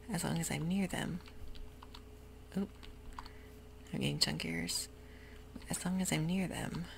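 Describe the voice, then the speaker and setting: A woman giving soft-spoken, whispery commentary while she plays a computer game, with frequent ums. Keyboard typing can be heard close to the mic. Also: low voice, creaky. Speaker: ASMR gaming creator. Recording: noisy home recording.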